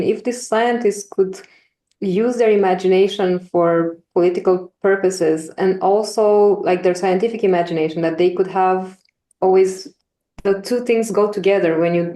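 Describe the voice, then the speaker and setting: Woman guest researcher. Soft-edged dark tone, slightly low, earnest reflective talk, noticeably echoey recording. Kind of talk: reflective conversational answer. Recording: roomy, echoey sound.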